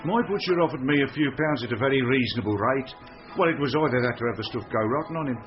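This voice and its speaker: An actor playing a chatty, blustering, slightly shifty British holiday camp manager in a radio comedy mystery. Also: male voice, medium pitch, quick pace, over music, close to an everyday voice. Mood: matey. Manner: colloquial, jokey, blustering. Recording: dull lo-fi radio drama.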